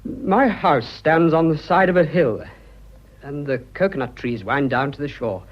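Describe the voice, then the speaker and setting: An actor playing an earnest, boyish young colonial planter with a British accent in a radio drama. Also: male voice, a little high, measured pace, highs cut off. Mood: tender, wistful.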